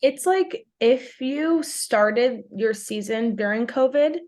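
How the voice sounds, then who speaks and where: A woman's voice: fairly high pitch, animated, slow pace, darkish tone. Chatty young woman from New York. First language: Portuguese. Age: 20s. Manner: chatty, fills speech with "like". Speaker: podcast guest. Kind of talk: casual interview chat.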